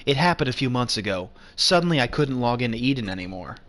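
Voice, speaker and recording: A man's voice: mid-range voice, big pitch swings, quick pace, a bit bright. A man narrating as a subdued teenage boy in a game. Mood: glum.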